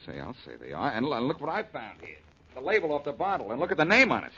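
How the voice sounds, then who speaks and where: An actor playing a confident, quick-witted amateur detective in a 1940s radio drama. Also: male voice, medium pitch, big pitch swings, sharp tone, breathy edge, exaggerated voice. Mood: alert, sure. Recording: muffled, noisy 1940s radio broadcast.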